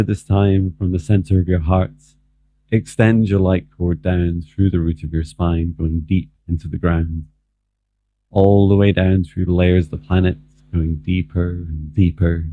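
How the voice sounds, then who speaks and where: Male meditation guide: very deep voice, measured and calm, leaving long pauses, recorded very dry. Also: no echo. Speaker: spiritual mentor leading a guided meditation. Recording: muffled, phone-like narrow band, dry.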